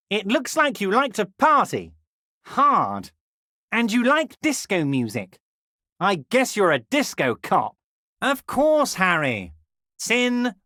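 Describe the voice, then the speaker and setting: An actor playing a scheming middle-aged union boss who flatters in a smug, drawn-out way, in a video game. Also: male voice, sits high, dry recording, studio quality, exaggerated voice. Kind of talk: video game character dialogue.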